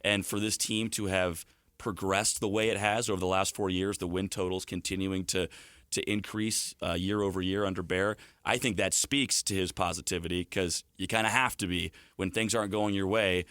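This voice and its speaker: A man with a fairly low voice talks sports as a guest analyst. He explains in a fluent, conversational way, leaning on stories, and the sound is dry with very little room on it. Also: level tone, normal speed, a bit bright, clean tone, no room sound. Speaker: hockey play-by-play broadcaster as studio guest.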